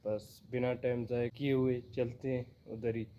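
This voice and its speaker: A man's voice: mid-range voice, flat delivery, normal speed, muffled recording, slight background noise. A young man from Pakistan chatting casually as a vlogger, thinking aloud and restarting his sentences. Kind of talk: casual vlog narration. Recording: loud music underneath.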